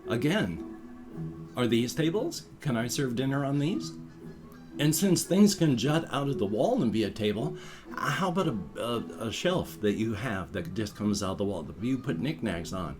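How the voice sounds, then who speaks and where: A middle-aged man vlogging in a rambling, casual monologue, restarting phrases and reasoning out loud to his viewers. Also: medium pitch, lively intonation, normal speed, music in the background. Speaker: vlogger, channel host.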